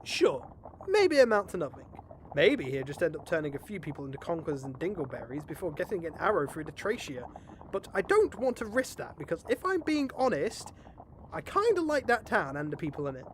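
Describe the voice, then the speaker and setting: A man acts a pompous, scheming gang boss in a fantasy comedy audio play. His delivery is theatrical and very animated, bossy and wordy, over faint background noise. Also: fairly high pitch, fairly quick. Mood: wry, confessional. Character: pompous, exasperated, scheming gang leader named Richard.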